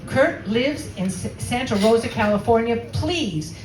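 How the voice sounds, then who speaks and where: Lady reading a poet's intro, earnest and proud, higher voice, sounds far from the mic. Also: expressive, a little slow. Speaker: event host. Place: poetry reading venue, indoors. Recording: echoey room recorded from afar.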